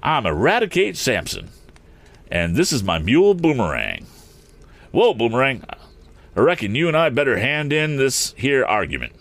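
A man's voice: medium pitch, wildly swooping pitch, moderate pace, a bit bright, dry recording, pitched above the performer's own voice. A man voicing an older, good-natured country wagon driver in a storybook, cheerful and feisty, with folksy rustic speech. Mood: excited, friendly. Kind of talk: audiobook character acting.

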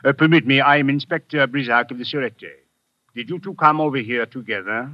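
A man's voice: medium pitch, fairly quick, dry recording, close to an everyday voice. A man playing a shrewd French police inspector with a French accent, asking formal, probing questions in a vintage radio drama. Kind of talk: 1940s radio acting. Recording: dull vintage radio recording.

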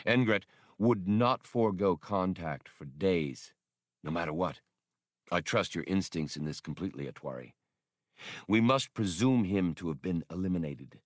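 A man voicing a grave, decisive rebel leader in an audiobook, with a measured, commanding manner. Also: low voice, no echo, studio quality.

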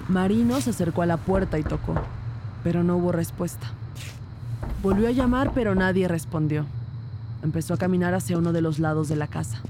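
A woman's voice: fairly low pitch, brisk, background noise, natural voice. A woman playing a composed police detective who narrates her investigation in a scripted crime audio drama. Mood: composed, narrating. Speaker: narrator and lead character. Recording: loud music behind the voice. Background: music.

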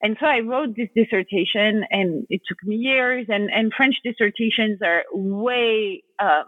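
A woman's voice: mid-range voice, unhurried, dry recording. A woman guest author answering interview questions in a thoughtful way, searching for her words, heard over a remote line. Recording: tinny phone-like line, dry.

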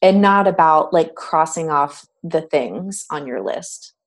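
Low-voiced reflective woman guest. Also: expressive, slow pace. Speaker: podcast guest and coach. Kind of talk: interview conversation.

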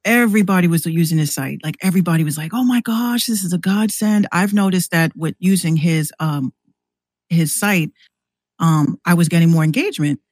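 A woman guesting on a podcast, chatting and telling stories casually in a slangy, halting way with repeated words. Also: fairly low pitch, darkish tone, dry recording, studio quality. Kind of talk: casual chat, storytelling.

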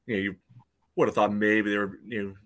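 Low, flat-toned man talking soccer. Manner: halting, peppered with "you know". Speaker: sports podcast panelist. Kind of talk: casual soccer discussion.

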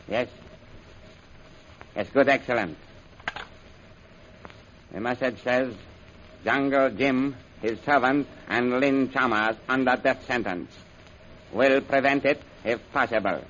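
An actor playing a commanding, methodical Chinese general in an old-time radio serial, speaking formally and deliberately. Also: male voice, mid-range voice, fairly bright tone. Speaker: general giving orders and reading out messages. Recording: dull, noisy old radio recording.

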